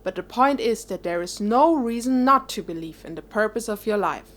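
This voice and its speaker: A woman playing a gentle but firm mother in an audio drama, reassuring and earnest. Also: mid-range voice, animated, moderate pace, close to an everyday voice. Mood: encouraging. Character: gentle, encouraging, firm middle-aged mother.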